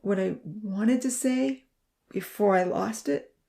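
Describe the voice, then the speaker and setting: A woman voicing a young burned man who is anguished and crying, his breathy whisper breaking into sobs. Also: mid-range voice, slow, mellow. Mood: choked.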